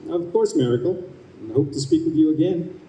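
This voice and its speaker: An actor playing a polite, eager young office assistant in an old-time radio style stage play. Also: male voice, a little high, fairly quick, warm tone, lightly acted. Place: convention room stage performance. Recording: distant echoey room, faint noise.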